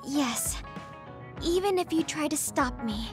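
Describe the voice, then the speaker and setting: A woman playing a gentle, earnest but stubborn young female soldier in an anime-style video game, speaking in short, halting sentences. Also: high-pitched, lively intonation, over music. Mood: quietly determined.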